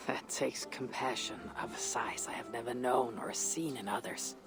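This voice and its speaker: A woman playing a grave, introspective Viking warrior in a video game, husky and breathy, speaking in solemn, deliberate phrases. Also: a bass voice. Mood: earnest, warm. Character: weathered female Viking warrior, grave, introspective, loyal leader. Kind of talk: dramatic video game acting. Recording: music behind the voice.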